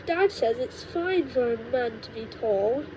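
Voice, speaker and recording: A woman acts out a young storybook boy of eight, high and animated in pitch. He is polite but doubtful, protesting in an easily dismayed way over faint background noise. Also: measured pace. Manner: pleading, protesting. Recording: noisy low-quality home microphone recording.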